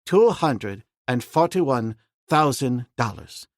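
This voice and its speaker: A man reading an audiobook, voicing a calm, decisive young man raised in the jungle who speaks plainly. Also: mid-range voice, slow pace, no echo, studio quality. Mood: firm. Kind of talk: audiobook character voice.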